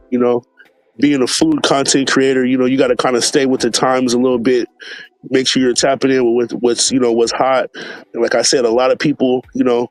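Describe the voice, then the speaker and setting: A relaxed man hosts a casual live stream at a mid pitch, loose and slangy, full of fillers as he greets viewers by name. He sounds a little stuffed up from allergies. The recording is close and dry, with faint music playing underneath. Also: dry recording, low-quality recording.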